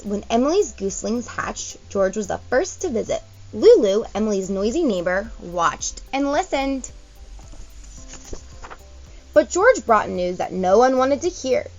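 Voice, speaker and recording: A woman's voice: medium pitch, very animated, measured pace, a little mellow, lo-fi sound, soft background music. A woman teacher reading a picture book to children, expressively, with explanatory asides for young listeners.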